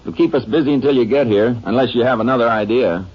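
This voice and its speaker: An American actor playing a steady, businesslike Texas Ranger in an old radio drama. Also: male voice, medium pitch, fast, close to an everyday voice. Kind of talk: 1950s radio drama acting and narration. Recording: noisy, muffled old-time radio recording.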